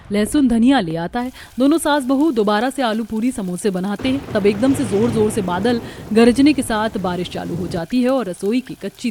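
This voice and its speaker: A woman's voice: mid-range voice, darkish tone, a little hiss or hum. A woman delivering scripted narration for a Hindi moral story as its narrator. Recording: music behind the voice.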